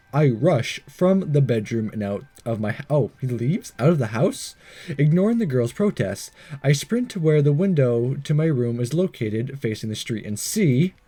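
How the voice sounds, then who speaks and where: A man's voice: mid-range voice, dark tone, slightly rough. A man giving casual, hesitant and wry game commentary, reading some of the text aloud as he plays. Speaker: let's-play host.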